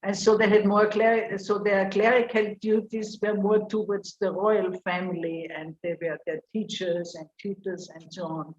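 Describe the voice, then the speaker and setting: A woman from Austria giving an academic paper presentation over a video call, explaining in a measured way with some fillers. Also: mid-range voice, little pitch movement, darkish tone. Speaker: conference speaker. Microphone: computer mic on video call. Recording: roomy lo-fi video call audio with faint noise.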